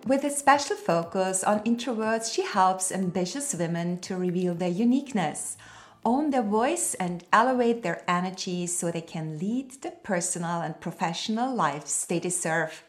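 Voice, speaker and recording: A woman's voice: fairly low pitch, animated, moderate pace, soft background music. A woman hosting a podcast, reading a guest's bio and asking interview questions in an earnest way. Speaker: podcast host. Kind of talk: scripted intro, then interview questions.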